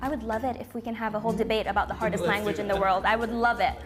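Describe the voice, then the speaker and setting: A woman whose first language is Arabic, hosting a talk show with enthusiastic, animated energy. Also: mid-range voice, rapid-fire, music in the background. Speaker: host.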